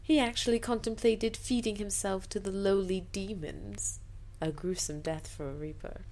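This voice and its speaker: A woman's voice: mid-range voice, steady tone, moderate pace, darkish tone. A woman doing an amateur dramatic reading of fanfiction, theatrical, with incredulous, sarcastic asides.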